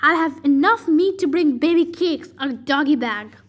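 Woman voicing a high-pitched gloating giant. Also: lively intonation, moderate pace. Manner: taunting, gloating. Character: gloating, hungry male monster giant.

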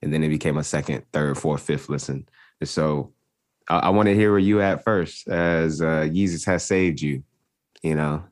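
Male podcast host, very deep voice, dry close sound, relaxed and halting. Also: no room sound. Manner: laid-back, stumbles over words. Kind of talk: casual podcast chat.

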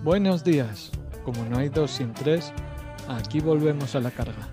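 A man's voice: mid-range voice, loud music behind the voice. Self-deprecating Basque Spanish man host. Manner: self-deprecating, rambling with pauses. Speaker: podcast host. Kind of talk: casual interview podcast.

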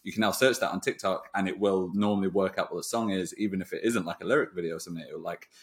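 A man's voice: sits low, fairly even intonation, racing. A man hosting a casual interview podcast, talking in a wordy, discursive way with long run-ups to his points. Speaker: podcast host.

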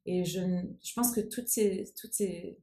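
A woman's voice: on the low side, a little slow, mellow. Anglophone woman speaking French thoughtfully. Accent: English-speaking accent in French. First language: English. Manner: thoughtful, searching for words, mixing in English. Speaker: guest, nonprofit founder. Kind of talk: interview conversation.

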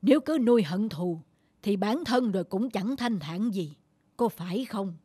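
A woman voicing a wise, forgiving old male village elder who speaks in a measured, moralizing way in an animated folk tale. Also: mid-range voice, close to an everyday voice. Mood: wise, soothing. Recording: loud music over the voice. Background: music.

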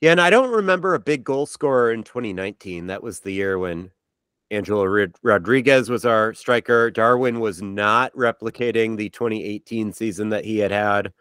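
A man's voice: lowish voice, normal speed, fairly bright tone, clear, resonant tone, no room sound. A man co-hosting a casual sports podcast, talking with wry, dry humor. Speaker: podcast co-host.

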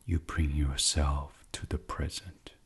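A man leading a guided sleep meditation in a soft, soothing, hushed ASMR style, with long pauses and near-whispered phrases. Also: a bass voice, level tone, breathy edge.

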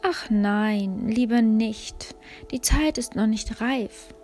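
A weary, doubting old wisdom speaks in a storybook, as a woman acts it out, hushed and half-whispered. The pace is slow and reluctant, over faint soft music. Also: a little high.

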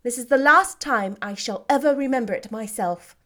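A woman's voice: a little high, moderate pace, fairly bright tone, close to an everyday voice. A woman voicing a spirited, witty young woman who talks playfully and teasingly. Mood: earnest.

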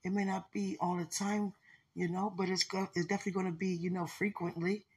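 A woman with a rather low, husky voice hosts an informal faith podcast. She rambles in an unscripted way and addresses the listener intimately, like someone dear to her. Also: little pitch movement, a little fast, darkish tone. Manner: speaks intimately, as if to a loved one. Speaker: podcast host.

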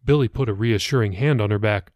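A man's voice: on the low side, quick pace, a little mellow, dry recording, clean studio sound. A man reading his own novel as scripted audiobook narration for a podcast.

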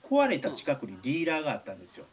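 A man's voice: fairly high pitch, huge pitch swings, very fast, husky. A man with a Kansai accent chatting casually and telling anecdotes, acting out the exchanges he quotes. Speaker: radio show talker, writer.

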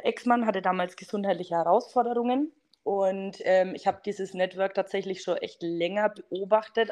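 A woman's voice: mid-range voice, a little mellow. A woman in her thirties from near Bamberg with a Franconian accent, chatting enthusiastically as a podcast guest, with lots of fillers. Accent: Franconian German. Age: 30s. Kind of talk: casual interview chat.

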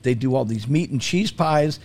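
A man's voice: mid-range voice, moderate pace, a little mellow. A man chatting casually as a food commentator on a talk show, in a jokey, self-deprecating way, repeating himself for emphasis. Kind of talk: casual talk radio chat.

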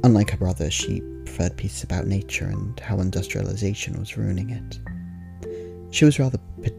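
A man's voice: low-pitched, a little fast, vocal fry, over music. A man playing a friendly, rambling diarist in an ASMR roleplay, talking casually with wry, hesitant asides. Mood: musing, amused.

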